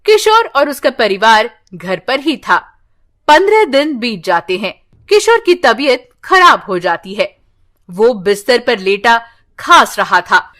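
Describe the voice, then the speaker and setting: A woman narrating a scripted moral story with slow, expressive, dramatic delivery. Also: on the high side.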